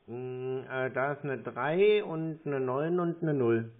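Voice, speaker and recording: Young man dictating over a phone line. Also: medium pitch. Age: 20s. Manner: deliberate, reads digits one at a time. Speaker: call recipient baiting a scammer.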